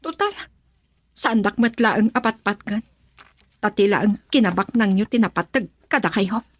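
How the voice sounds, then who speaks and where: A woman's voice: medium pitch, moderate pace, close to an everyday voice. A woman playing a headstrong, insistent young bride-to-be who defies her father in an Ilocano radio drama. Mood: defiant.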